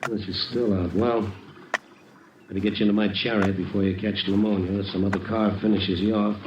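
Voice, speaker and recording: An American actor playing a wry, hard-boiled big-city newspaper reporter in an old radio detective drama, narrating and acting out scenes. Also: male voice, on the low side, little pitch movement, brisk, recorded from a distance, noisy background, close to an everyday voice. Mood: brisk concern. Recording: muffled, roomy old radio recording, music behind.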